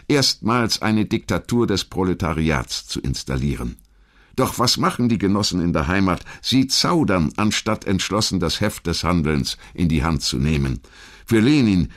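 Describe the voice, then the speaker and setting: A man reading a scripted historical narration in a measured, articulate storytelling manner. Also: low voice. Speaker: documentary narrator.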